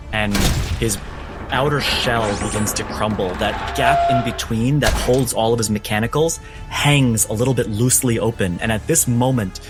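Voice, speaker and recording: A man narrating a tabletop roleplaying game as game master, with deliberate, dramatic phrasing. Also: fairly low pitch.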